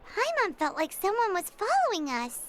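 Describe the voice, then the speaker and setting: A woman voicing a small, excitable female fairy companion in a game, who talks about herself in the third person. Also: very high voice, normal speed, a little hiss or hum, exaggerated voice. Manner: refers to herself in the third person, lively and eager. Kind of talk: game voice acting. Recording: game dialogue over loud music.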